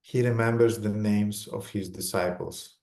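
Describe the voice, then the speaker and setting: A man with a Romanian accent giving a spiritual lecture in short, halting phrases, pausing for an interpreter. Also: on the low side, steady tone, darkish tone, reverberant. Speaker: visiting lecturer. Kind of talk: lecture in English with consecutive translation.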